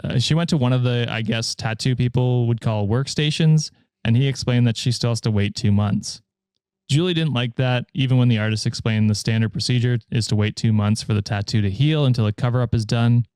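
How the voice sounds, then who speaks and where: A man's voice: medium pitch, brisk, clear, resonant tone, dry recording. Dry-miked male podcast host reading. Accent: American. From United States. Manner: laughs often, relaxed storytelling with fillers. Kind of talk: reading Reddit stories aloud in casual chat.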